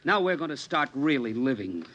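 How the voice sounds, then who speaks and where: A man's voice: mid-range voice, sing-song, normal speed, a bit bright, highs cut off. An actor playing a stern, exasperated middle-aged father in a 1950s radio drama. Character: stern, exasperated father, growing uneasy and frantic.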